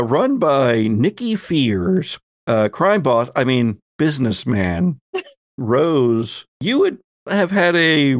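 A man narrating a tabletop roleplaying game as game master, pausing and deliberate as he sets out scenes and questions. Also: medium pitch, vocal fry, no echo, studio quality.

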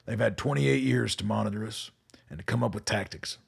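An actor playing a weary middle-aged colonel in a radio drama, measured and commanding. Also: male voice, fairly low pitch, exaggerated voice. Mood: grave, urgent. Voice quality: gravelly, husky. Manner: measured, authoritative.

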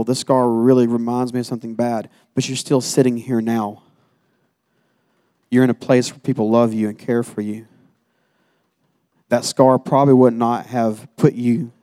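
A man's voice: medium pitch, mellow. A man giving a sermon as personal testimony in an earnest, exhorting manner. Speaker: guest preacher giving a testimony.

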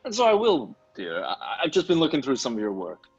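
A woman's voice: a bass voice, huge pitch swings, a little fast, darkish tone, slightly rough, lo-fi sound, faint music behind, heavily acted. A woman playing a flustered, placating male editor and henpecked fiancé in a 1940s radio comedy. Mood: placating, teasing. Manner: hesitant, appeasing, dryly teasing.

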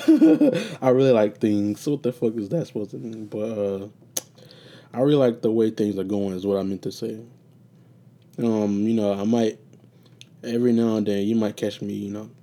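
Young male host, casual profane solo talk, tired and a bit raspy, dark mellow timbre. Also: lowish voice, expressive, moderate pace, long pauses. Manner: loose, profane, meandering with many fillers. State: getting raspy and sleepy. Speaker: podcast host.

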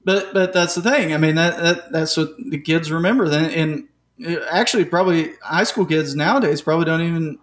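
A man's voice: a little high, moderate pace, fairly bright tone. A man, co-hosting a sports podcast, reminiscing with hesitant fillers. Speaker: podcast co-host. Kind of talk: casual sports chat.

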